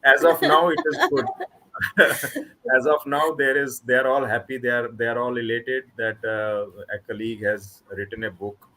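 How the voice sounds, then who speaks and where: A man's voice: medium pitch, steady tone, bright, forceful tone, low-quality recording. A man from Kashmir with an Indian accent, an author chatting casually and often restarting his sentences. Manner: halting, with many restarts and repeated words. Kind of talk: casual online book club chat.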